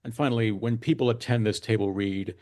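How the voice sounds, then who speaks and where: A man's voice: lowish voice, steady tone, a little fast, dark tone. A man hosting an interview podcast, asking guests questions. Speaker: podcast host.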